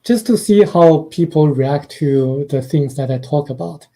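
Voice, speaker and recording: Soft-spoken Chinese-accented man, very mellow. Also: a little high, unhurried. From China. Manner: hesitant, many uh fillers, soft-spoken. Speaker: guest author and translator. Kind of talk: radio interview.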